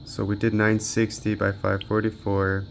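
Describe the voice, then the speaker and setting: A man's voice: fairly low pitch, completely flat delivery, moderate pace, vocal fry, slight background noise. A man giving casual gameplay commentary as a handheld gaming reviewer, informally thinking aloud as he tests. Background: video game music.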